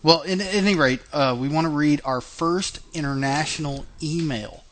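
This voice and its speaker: A man's voice: a little high, moderate pace, a bit bright. A man hosting a podcast in casual chat, chatty with many fillers and restarts, laughing often. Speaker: podcast host.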